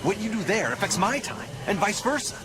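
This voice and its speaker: A man's voice: high voice, sing-song, a little fast, background noise. A man voicing an urgent male hero from the future in a video game cutscene, terse and commanding. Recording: game audio with loud music.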